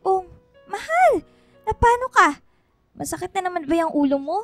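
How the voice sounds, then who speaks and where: A woman's voice: very high-pitched, sing-song, moderate pace, a bit bright, no echo, heavily acted. A woman acting a caring, fussing wife and mother in a radio drama, attentive and affectionate, with a Filipino accent. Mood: worried, fussing. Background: background music.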